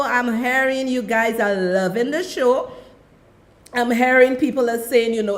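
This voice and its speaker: A woman with a Trinidadian accent hosting her own radio talk show, chatting directly to her listeners into a studio mic. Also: on the high side. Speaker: radio and web show host.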